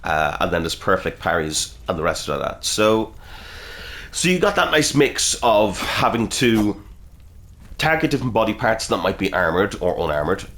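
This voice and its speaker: A man's voice: low-pitched, a bit bright, slight background noise. A man with a slight cold giving chatty, casual gameplay commentary, swearing and reacting aloud as he fights. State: nursing a cold, a bit sniffly. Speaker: gaming video host.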